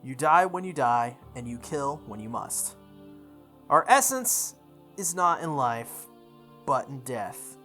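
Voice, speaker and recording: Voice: mid-range voice, sing-song, slow, bright, forceful tone, full character voice. A game player voicing a solemn, cautionary adult male magus mentor with weighty delivery. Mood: grave, warning. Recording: background music behind the voice.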